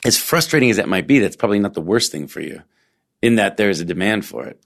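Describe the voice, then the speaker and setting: A male podcast host chatting casually, telling things in an animated way with plenty of fillers. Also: fairly low pitch, quick pace, gravelly. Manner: animated storyteller, lots of fillers. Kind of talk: casual conversation.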